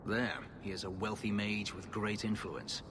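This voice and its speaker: Man acting a deep, brooding fugitive warrior. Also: steady tone, noisy background, lightly acted. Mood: grim resolve. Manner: formal, grave, measured speech. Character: brooding, bitter elven fugitive slave warrior. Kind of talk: video game voice acting.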